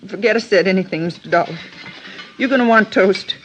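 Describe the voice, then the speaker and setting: An actress playing an anxious, guarded middle-aged lunchroom keeper in an old radio drama, with a halting delivery. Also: female voice, mid-range voice, natural voice. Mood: uneasy, evasive. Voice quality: halting, stammering when nervous. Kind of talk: 1950s radio drama acting. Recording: muffled, noisy old radio recording.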